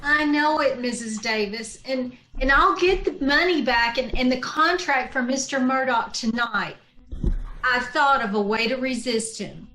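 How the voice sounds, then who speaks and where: A wry schoolteacher in a radio comedy, acted by a woman. Her voice is on the high side and comes with a clear room echo. She tosses out dry, sardonic wisecracks. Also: measured pace, bright, forceful tone, a little hiss or hum. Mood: earnest, determined. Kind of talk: old-time radio sitcom acting. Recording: roomy, echoey video-call recording.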